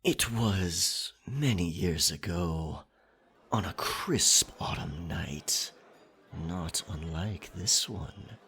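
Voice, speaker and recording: A man playing a captain werecat in an ASMR roleplay, telling a scary tale in a breathy, gravelly, theatrical voice with deliberate pauses. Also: low-pitched, a bit bright, full character voice. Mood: ominous storytelling. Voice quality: breathy, gravelly half-whisper. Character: pirate captain werecat, dominant, ominous campfire storyteller.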